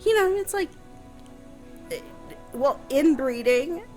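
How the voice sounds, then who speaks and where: A woman co-hosts a relaxed radio chat in a somewhat high voice. She reacts readily and jumps in with her own stories. Faint music plays in the background. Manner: chatty and responsive, quick to react. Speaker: radio co-host. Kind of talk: casual radio talk.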